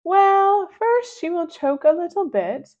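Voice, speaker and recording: A woman's voice: extremely high pitch, big pitch swings, takes it slowly, a little mellow, pitched above the performer's own voice, exaggerated voice. A woman voicing an eager imaginary male friend who gleefully plots mischief, in a dull lo-fi recording.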